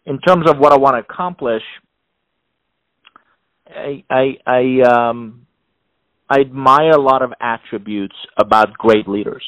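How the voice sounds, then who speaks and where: A man's voice: medium pitch, unhurried, pauses often, dry recording, poor audio. Emphatic middle-aged man, dry sound. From Iran. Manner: animated, emphatic, with frequent restarts and repeated words. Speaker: executive advisor and author. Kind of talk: interview answers.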